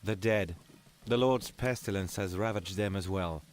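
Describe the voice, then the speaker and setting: A man's voice: low voice, unhurried, a little hiss or hum. A man playing a stern, pious young medieval archer in an audiobook drama, speaking formally and without emotion. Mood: grim, blunt. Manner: solemn, flat, formal. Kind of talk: audiobook character acting.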